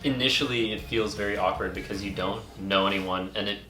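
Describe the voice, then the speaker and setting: A young man with a somewhat low voice hosts a relaxed, conversational interview. His talk sounds roomy and echoey, with faint music in the background. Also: brisk. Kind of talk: casual interview chat.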